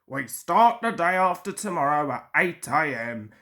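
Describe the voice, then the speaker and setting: A woman's voice: low-pitched, sing-song, unhurried, sharp tone, full character voice. A woman voicing a stern, pompous male railway boss giving orders in a storybook. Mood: commanding. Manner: stern, pompous, bellowing at times. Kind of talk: storybook character voice.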